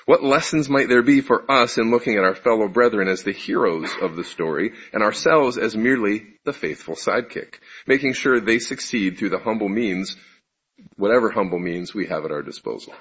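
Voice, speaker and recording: A man with a deep voice giving a conversational sermon to his congregation, asking them questions and poking fun at himself. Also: lively intonation, brisk, fairly bright tone, slightly rough. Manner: conversational, self-deprecating, asks the audience questions. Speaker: preacher giving a congregation talk. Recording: muffled lo-fi recording.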